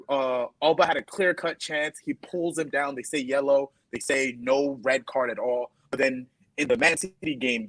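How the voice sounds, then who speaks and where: A man vents about refereeing on a casual football panel. His voice is a touch husky and sits at a middle pitch. It is recorded dry and close. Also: fairly bright tone, no room sound, poor audio. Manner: exasperated, repeats himself when frustrated. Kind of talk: casual heated football chat.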